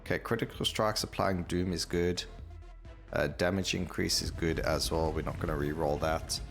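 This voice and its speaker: A man's voice: deep voice, steady tone, moderate pace, creaky. A man hosting a gaming video, casually thinking aloud and explaining his choices to viewers as he plays. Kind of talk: casual gameplay commentary. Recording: game music behind the voice. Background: video game music.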